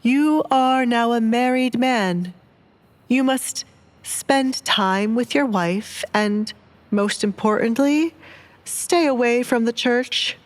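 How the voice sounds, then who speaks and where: A woman acts out a flustered male priest in an audio drama. The words come slowly in a raised pitch, with exasperated, accusing protest. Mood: exasperated, firm.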